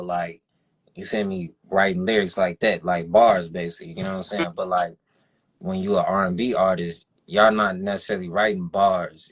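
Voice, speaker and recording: A man's voice: deep voice, slightly rough. A man hosting a radio interview and asking rambling, laid-back questions full of slang and fillers. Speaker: radio show host and rapper.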